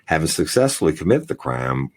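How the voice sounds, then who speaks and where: A man's voice: a bass voice, big pitch swings, a little fast. A man co-hosting a crime news podcast, reading stories aloud with crude, joking asides. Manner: profane, jokey, reads headlines aloud. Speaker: podcast co-host. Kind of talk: casual crime news chat.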